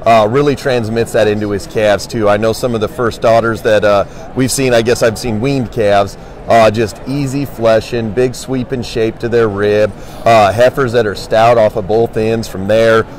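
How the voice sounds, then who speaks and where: A man's voice: fairly low pitch, steady tone, normal speed, darkish tone, slight background noise. A man giving casual livestock commentary as a specialist on a panel. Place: indoor convention hall booth.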